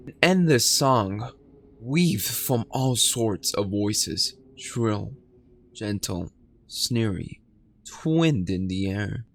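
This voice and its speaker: A man who streams games, reading a horror game's story aloud in a slow, dramatic storytelling way. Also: on the low side, expressive, slight background noise. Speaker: gaming streamer.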